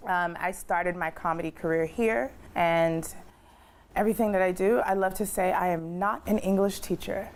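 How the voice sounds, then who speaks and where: A woman's voice: fairly low pitch, moderate pace. A middle-aged woman from Hawaii, a comedian and guest, chatting casually and telling stories with lots of laughs. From Hawaii, United States. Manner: animated, laughs often, storytelling. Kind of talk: casual interview chat. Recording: music bed underneath.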